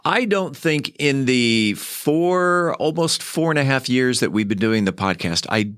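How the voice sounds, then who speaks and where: A man from California hosting a casual studio interview, chatty and relaxed, often repeating words while he thinks. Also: mid-range voice, measured pace, clear, resonant tone, no echo. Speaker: podcast host.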